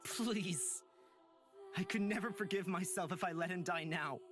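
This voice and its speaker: A woman's voice: lowish voice, measured pace, close to an everyday voice. A woman playing an earnest, devoted young companion in a visual novel, desperate and dramatic in her pleading. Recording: loud music behind the voice.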